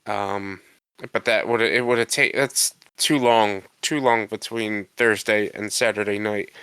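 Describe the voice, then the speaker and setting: Congested podcast co-host man, bright crisp tone, rambles and defends himself. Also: fairly low pitch, steady tone, measured pace, dry recording. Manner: rambling, defensive, restarts often. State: congested from a cold. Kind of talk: casual chat.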